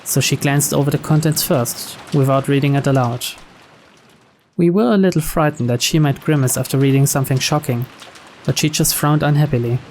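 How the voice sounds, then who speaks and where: A man's voice: a little high, brisk, darkish tone, slight background noise, poor audio. A man reading scripted first-person narration from a mystery visual novel. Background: music and rain.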